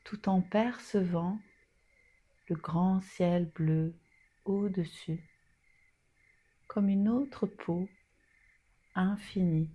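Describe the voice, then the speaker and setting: A woman leading a guided yoga nidra meditation in a soothing, soft manner with long pauses. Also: on the low side, breathy edge.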